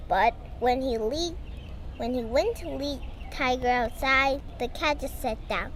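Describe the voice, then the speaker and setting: A young girl reading a storybook aloud in a halting way, stumbling over words. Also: high-pitched, a bit bright, a little hiss or hum. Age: child. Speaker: young reader.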